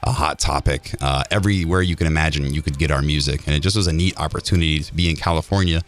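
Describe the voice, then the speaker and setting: Low-voiced guy from illinois, band dude on the radio, chatty and keen, telling stories in a studio. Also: fast talker. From Illinois, United States. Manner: chatty, enthusiastic storytelling. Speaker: band musician, interview guest. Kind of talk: casual radio interview.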